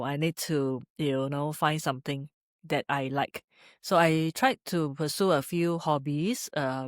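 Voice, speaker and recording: A middle-aged woman with a Singaporean accent speaks as an interview guest. Her voice is low and smooth, recorded close and dry. She talks conversationally and haltingly, repeating phrases as she searches for words. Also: no echo. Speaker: podcast guest, street photographer. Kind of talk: conversational interview, personal storytelling. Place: indoors in a gallery.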